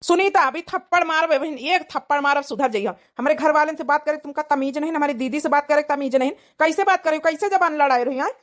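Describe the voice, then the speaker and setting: A woman voicing a high-pitched, hot-tempered village husband. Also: fast, few pauses, natural voice. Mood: angry scolding. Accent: Awadhi. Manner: scolding, grumbling. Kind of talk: comedy cartoon dialogue.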